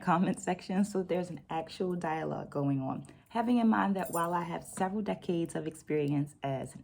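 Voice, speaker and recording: Low-voiced middle-aged woman host, deliberate and mellow. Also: vocal fry, a little hiss or hum. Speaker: book vlog host and dance educator. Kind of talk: reflective vlog talk with read-out quotes.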